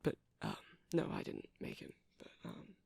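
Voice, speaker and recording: A soft-spoken man doing a rambling ASMR roleplay as a shopkeeper, hesitant and apologetic in manner. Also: moderate pace, dry recording, studio quality. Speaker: character performer playing a shopkeeper.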